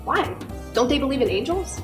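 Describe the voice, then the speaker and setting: A woman's voice: high voice, lively intonation, fairly quick. A woman playing an earnest, childlike second-class guardian angel, fussy and chatty, in an amateur radio play. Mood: innocent. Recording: roomy echo with music behind.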